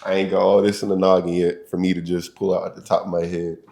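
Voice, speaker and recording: A man's voice: low voice, flat delivery, fast, gravelly, warm tone. A young college-age man hosting a casual podcast, telling stories in laid-back slang and laughing often. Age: young adult. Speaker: podcast host. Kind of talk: casual chat.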